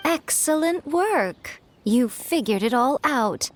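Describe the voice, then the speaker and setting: A woman's voice: high voice, lively intonation, slow, slight background noise. A woman voicing a sly, poised shrine lady in a video game, teasing and faintly condescending. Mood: amused, teasing.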